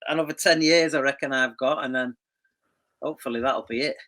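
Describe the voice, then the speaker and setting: A man, chatting casually as a podcast guest in a relaxed, dry way. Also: medium pitch, a little fast. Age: 50s. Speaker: podcast guest, graphic designer. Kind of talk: casual chat.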